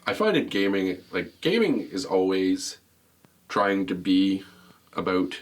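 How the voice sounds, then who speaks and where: A man with a deep voice co-hosting a casual gaming podcast, jokey and rambling, laughing often. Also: very animated, unhurried, poor audio. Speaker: podcast co-host.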